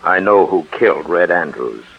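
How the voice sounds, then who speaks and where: Deep-voiced shrewd male detective, husky, plain-spoken, measured, 1940s radio drama, muffled old recording. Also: expressive. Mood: frank, pressing.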